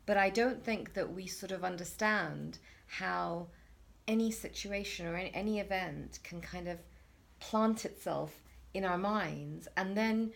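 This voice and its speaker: Gravelly-voiced woman hosting a chat. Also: lowish voice, a little slow. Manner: hesitant, many restarts and fillers. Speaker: interview host. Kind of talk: reflective conversational chat.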